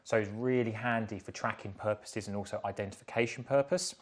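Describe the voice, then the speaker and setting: A man's voice: lowish voice, steady tone, a little fast. A man in his thirties giving a casual, explanatory product demonstration in an indoor workshop showroom.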